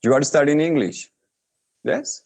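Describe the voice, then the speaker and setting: A man's voice: fairly high pitch, expressive, unhurried, gravelly, darkish tone. A man moderating an online English conversation class, prompting students by name and keeping things moving. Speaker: teacher moderating a conversation group.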